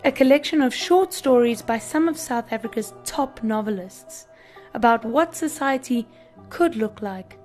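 A woman reads a scripted series introduction as presenter. Her voice sits somewhat high, and music plays behind her. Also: animated, a little slow, a little mellow.

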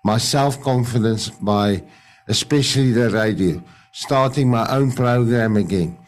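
Afrikaans guy on a zoom call, stumbling and restarting a lot, telling his faith story. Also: mid-range voice. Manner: halting, searching for English words, many restarts. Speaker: radio presenter sharing testimony. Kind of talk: personal faith testimony in a video meeting. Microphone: video call.

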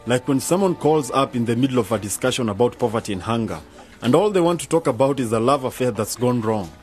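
A man's voice: mid-range voice, lively intonation, fast, darkish tone, soft background music, lightly acted. An actor playing an inquisitive, frank young man new to broadcasting in an educational radio drama. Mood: curious.

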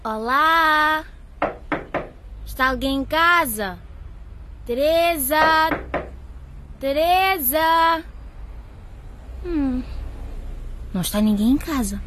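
A naive, caring schoolgirl in a radio soap, with a high, youthful voice. She has an anxious streak. There is faint noise behind her. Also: animated, extremely slow, long pauses, heavily acted. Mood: searching. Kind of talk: radio soap opera acting.

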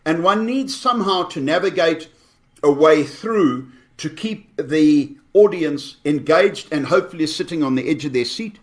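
A man with a fairly high, strong voice. He speaks in a measured, deliberate way as a radio guest. His answers are articulate and carefully built. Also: animated. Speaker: storyteller guest.

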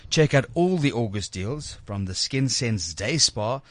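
A man's voice: medium pitch, lively intonation, a little slow, a bit bright, no echo. A South African man hosting a radio show, chatting informally and reading sponsor plugs in an effusive, jokey way, often repeating himself. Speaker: radio host. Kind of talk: informal chat and live sponsor reads.

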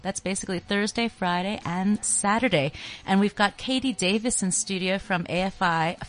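A woman hosting a casual radio show in the studio, bubbly and quick to laugh. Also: mid-range voice. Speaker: radio host.

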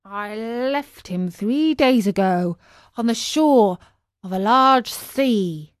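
A woman voicing a large, helpful male pigeon in a storybook, speaking in a measured, matter-of-fact, inquiring way. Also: on the high side, expressive, no room sound, studio quality. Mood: informative. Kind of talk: storybook character voice.

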